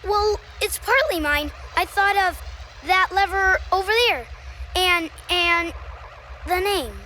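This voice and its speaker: A woman's voice: very high voice, takes it slowly, sharp tone, slight background noise, exaggerated voice. A woman voicing an eager, boastful kid in a children's puppet show, with an emphatic, showy delivery. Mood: eager, defensive. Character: eager, boastful kid named Norman, proud of invention.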